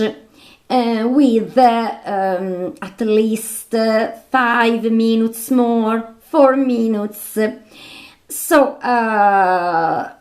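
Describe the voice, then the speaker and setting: A woman giving unscripted, informal video commentary in a halting, hesitant way, often repeating herself as she goes. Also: a little high, a little mellow. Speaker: video narrator.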